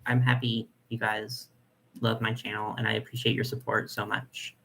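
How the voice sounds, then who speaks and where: Young guy w a nasal voice, kinda gravelly and bright, chatting on a livestream. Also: fairly low pitch, flat delivery, normal speed, slight background noise, rough recording. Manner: chatty, filler-heavy, soft-spoken. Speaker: doll collector channel host. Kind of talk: casual live chat reading comments.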